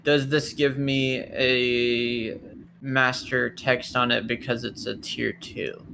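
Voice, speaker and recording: A man's voice: medium pitch, little pitch movement, takes it slowly, vocal fry, noisy background. A man chatting casually as a tabletop roleplay player, hesitantly thinking aloud and swearing casually. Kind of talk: casual game chat.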